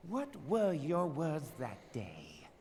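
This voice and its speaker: A wealthy, scheming merchant lord for a video game. His high voice swoops theatrically through haughty, mocking oratory. It rings in a hall with long reverb. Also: wildly swooping pitch, slow, darkish tone, exaggerated voice. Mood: sarcastic, taunting. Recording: reverberant hall, light background noise.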